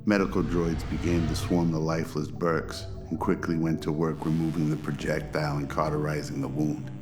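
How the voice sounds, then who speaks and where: A man narrates a radio drama in a deep voice. His scripted reading keeps an even pitch, with music clearly behind it.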